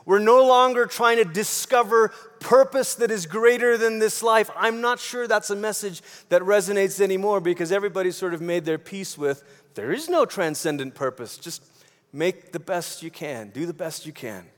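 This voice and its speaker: A man's voice: sits high, normal speed, a bit bright. A man from Malaysia preaching a sermon in a conversational way, posing rhetorical questions and repeating phrases for emphasis. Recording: long hall reverb.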